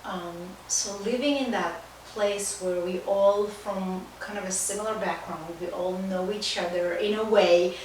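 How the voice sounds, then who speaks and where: A woman's voice: medium pitch, steady tone, unhurried, hardly any pauses, darkish tone. A woman with an Israeli accent talking enthusiastically and emphatically as a guest in a casual podcast interview. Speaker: podcast guest, life coach. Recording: distant mic, very echoey room, faint noise.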